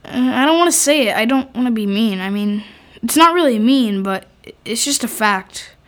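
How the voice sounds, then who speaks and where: A female performer playing a frank, curious twelve-year-old kid in a naturalistic comedy radio play, gently teasing and deadpan. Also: fairly high pitch, moderate pace, natural voice.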